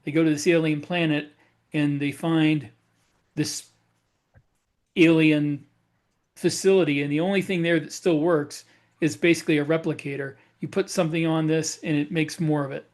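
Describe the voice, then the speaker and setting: A man's voice: on the high side, fairly even intonation. A man co-hosting a casual podcast, asking pointed questions, jumping in and laughing easily. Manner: questioning, quick to laugh, jumps in. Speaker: podcast co-host, author.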